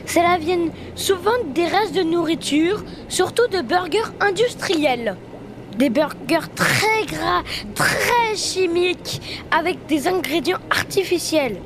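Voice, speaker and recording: A woman's voice: extremely high pitch, animated, takes it slowly, background noise, exaggerated voice. A child playing a grave, famous male scientist explaining lab findings in an audio story. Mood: serious, explanatory.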